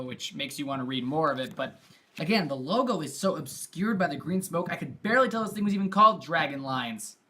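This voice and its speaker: A man's voice: on the high side, bright, forceful tone. A man giving a jokey comic book review with a sarcastic, theatrical, mocking delivery. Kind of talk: jokey scripted review commentary.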